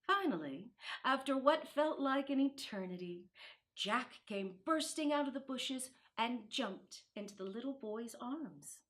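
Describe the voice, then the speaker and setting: A woman reading a children's Christmas storybook aloud in an expressive, deliberate way. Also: on the high side. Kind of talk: storybook narration.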